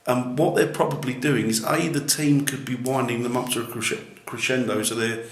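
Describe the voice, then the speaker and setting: A man with a loud, booming voice, musing skeptically while ghost hunting in a large building at night. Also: steady tone, moderate pace. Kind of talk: casual on-location chat. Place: large echoey building, a former jail, at night. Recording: echoey room with faint noise.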